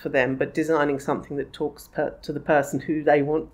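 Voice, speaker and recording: A woman's voice: sits low, little pitch movement, brisk, darkish tone. A woman, a magazine publisher chatting as a podcast guest, laughing often and restarting her sentences. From England. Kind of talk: casual interview chat.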